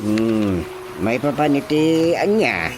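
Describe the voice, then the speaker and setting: A man's voice: medium pitch, sing-song, takes it slowly, background noise. A man playing a bossy, blustering village chairman in a radio drama comedy, with an Ilocano accent. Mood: concerned.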